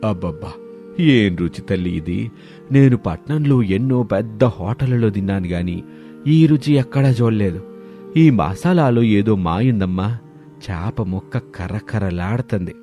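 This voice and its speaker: A man's voice: fairly low pitch, animated, unhurried, mellow, faint music behind. A man voicing a hearty, food-loving farmer elder who exclaims and gives orders in a cartoon village tale. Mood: delighted. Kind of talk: dramatised moral story dialogue.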